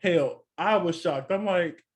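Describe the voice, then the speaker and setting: Texan male co-host, sassy recap chat, pitch a touch high. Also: slow pace. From Texas. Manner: chatty, sassy asides like "honey". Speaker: podcast co-host.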